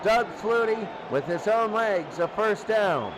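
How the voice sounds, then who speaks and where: A high-pitched male play-by-play commentator calls a football game as if for live radio. His calls are short and clipped, often repeating a phrase, and loud noise surrounds him throughout. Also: animated, slow, a crowd in the background. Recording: very noisy game ambience.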